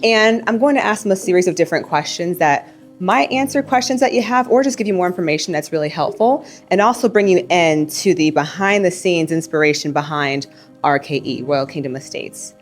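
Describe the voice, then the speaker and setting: Young woman moderator, low voice, upbeat, faint music behind. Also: a little fast. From United States. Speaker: event moderator. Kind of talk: moderating a panel event.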